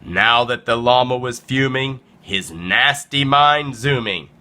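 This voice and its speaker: A man's voice: mid-range voice, slow, sharp tone. A man reading a children's picture book aloud in a playful, dramatic storytelling style.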